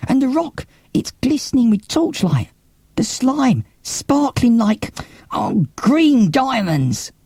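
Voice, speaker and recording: A man's voice: very high-pitched, very animated, takes it slowly, darkish tone, husky, no echo, studio quality, voice pushed up, exaggerated voice. A man voicing an uncertain, wondering young lad with a hesitant manner in an audiobook. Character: Milo, uncertain, wondering young lad with London street talk. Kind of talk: dramatic audiobook character reading. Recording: cassette audiobook.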